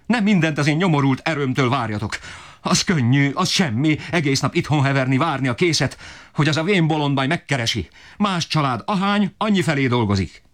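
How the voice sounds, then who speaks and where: A man's voice: low-pitched, pitched above the performer's own voice, lightly acted. A man voicing a desperate, enraged poor father who bellows in fury. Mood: furious shouting. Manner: bellowing, furious outbursts.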